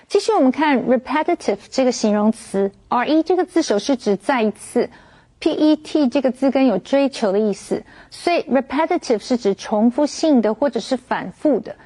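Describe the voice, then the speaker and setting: High, mellow woman teaching vocabulary. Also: expressive, low-quality recording. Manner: clear, measured, instructive. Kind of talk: scripted vocabulary explanation.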